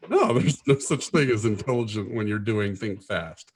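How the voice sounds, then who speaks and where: Lowish-voiced joking podcast guy. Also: lively intonation, moderate pace. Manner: joking, teasing. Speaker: podcast co-host. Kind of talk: casual banter.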